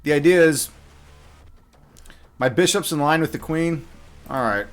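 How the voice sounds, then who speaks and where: A man's voice: a little high, measured pace, soft background music. A man giving casual live commentary on his own game as a streamer, muttering half-finished thoughts to himself as he thinks aloud.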